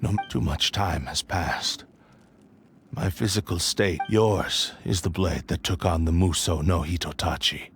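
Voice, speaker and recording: A man's voice: sits low, a little slow, soft background music. A man voicing a proud, defeated spirit of an ancient sword in an anime game, formal and grave. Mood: resigned.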